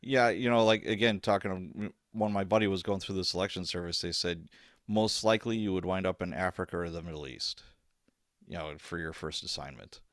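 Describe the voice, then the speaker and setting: A man's voice: low voice, fairly quick, no room sound, studio quality. A man hosting a podcast, asking questions in a casual, informal and loosely structured way. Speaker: podcast host, interviewer. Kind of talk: casual interview.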